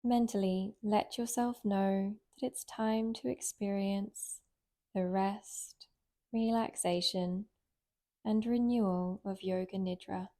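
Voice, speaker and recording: A woman guides a relaxation practice at a very slow pace. Her voice is warm and mellow, with a medium pitch. She speaks gently and soothingly, leaving long, unhurried pauses between phrases. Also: steady tone. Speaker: meditation guide, yoga nidra teacher.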